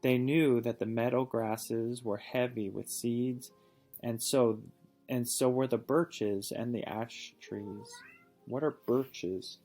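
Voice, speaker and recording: A man reads a children's story aloud in a medium-pitched voice over faint music. He is patient and encouraging, moving between the narration and simple questions for his listener. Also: takes it slowly, darkish tone. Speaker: reading teacher or parent reading aloud.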